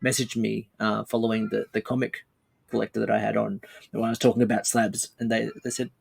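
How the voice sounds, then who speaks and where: A man hosting a podcast in casual chat, talking in a halting way and repeating words as he goes. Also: on the low side, little pitch movement, gravelly, slightly rough. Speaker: podcast host.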